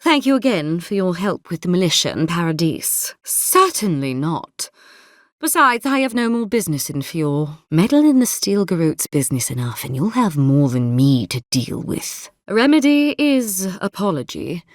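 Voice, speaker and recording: A woman's voice: fairly low pitch, big pitch swings, normal speed, no echo, studio quality. A woman voicing a stern, haughty and zealous female military captain in a video game, speaking in a formal, clipped, imperious way. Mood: cool, formal. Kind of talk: video game character dialogue.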